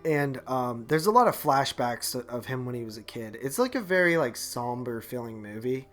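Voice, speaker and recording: A man's voice: mid-range voice, expressive, normal speed, fairly bright tone, soft background music. A man co-hosting a podcast, reviewing movies in a casual, rambling, slangy way. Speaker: podcast co-host.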